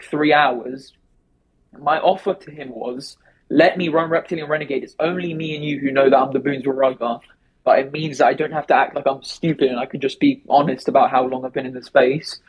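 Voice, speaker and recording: Husky guy rambling fast w lots of restarts, kinda muffled call sound. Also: on the high side. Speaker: interview guest. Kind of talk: casual remote interview.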